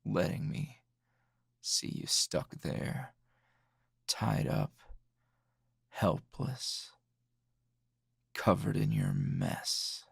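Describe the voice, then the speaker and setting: A man performs a gruff, teasing male anime hero for an ASMR roleplay. The voice is gravelly and dry, recorded close without room sound. Delivery swings widely in pitch, halting and flirtatious. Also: sing-song, dry recording, heavily acted. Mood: flustered, flirtatious. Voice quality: gravelly, husky. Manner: slow, teasing, halting with sighs and chuckles. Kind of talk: ASMR roleplay, intimate scripted acting.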